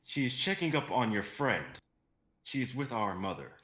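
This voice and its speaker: A man playing a proud, easygoing god of strength with an assured, relaxed manner in a dramatic reading. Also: mid-range voice, normal speed, roomy sound.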